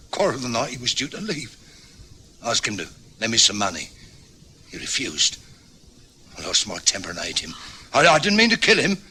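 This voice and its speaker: A man acting a panicked, guilt-ridden husband in an old radio drama, agitated and halting. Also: mid-range voice, sharp tone, slight background noise. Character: panicked husband confessing a hidden killing.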